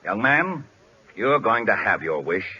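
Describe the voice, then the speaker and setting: Male haughty, mocking team boss in vintage radio play: bright, punchy tone, widely swinging pitch. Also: sing-song, measured pace, husky, exaggerated voice. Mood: indignant, mocking. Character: indignant, pompous male baseball club owner. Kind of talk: 1940s-50s radio drama acting. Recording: dull lo-fi old radio broadcast.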